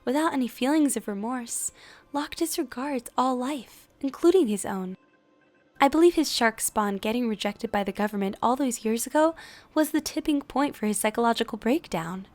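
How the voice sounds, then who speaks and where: An earnest, idealistic therapist in a cartoon, acted by a woman. Her voice is fairly high, with formal, carefully articulated phrasing. She sounds composed and firm, with faint music behind her. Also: lively intonation, normal speed, a little mellow, lightly acted. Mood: earnest, concerned. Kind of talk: animated film voice acting.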